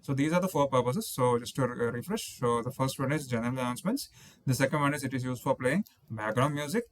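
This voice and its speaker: A man's voice: mid-range voice, expressive, fast. A man giving an explanatory technical lecture as an instructor, going through points methodically and often rephrasing them.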